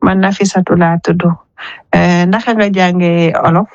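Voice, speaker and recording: A woman from Senegal, recorded at home on a phone voice message, gently asking questions of her daughter. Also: lowish voice, steady tone, unhurried, dry recording. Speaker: mother and caller. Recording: dull lo-fi voice message, dry.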